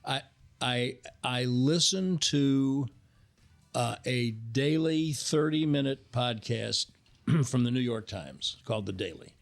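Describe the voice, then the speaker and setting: A man chatting casually in a conversational storytelling way, often halting and repeating himself as a guest on a talk show. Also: medium pitch, creaky.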